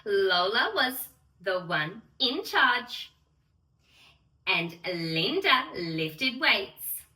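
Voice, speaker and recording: A woman playing a sweet, excitable young fairy who gushes in a sing-song way to little children as she hosts a bedtime story read-aloud. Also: on the high side, heavily acted. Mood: storytelling wonder. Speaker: children's storyteller and channel host. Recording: roomy echo, distant microphone.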